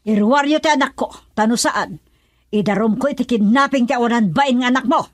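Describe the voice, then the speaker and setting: A woman's voice: a little high, brisk, no echo, studio quality, natural voice. A woman playing an indignant, accusing mother in an Ilocano radio drama, scolding and insistent. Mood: angry, accusing. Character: indignant, accusing mother of a runaway young daughter.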